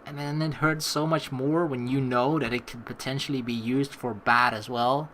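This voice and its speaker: A man's voice: medium pitch, fairly even intonation, moderate pace, fairly bright tone, a little hiss or hum. A young man hosting a let's play, reading game narration aloud and adding casual commentary.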